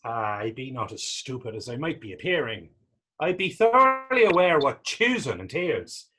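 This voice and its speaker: A man's voice: mid-range voice, sing-song, normal speed, pushed and bright, husky, full character voice. A man in a readers theater drama playing a defiant young Irish street vagrant, taunting and sarcastic, with an Irish accent. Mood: earnest, defiant. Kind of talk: readers theater stage acting.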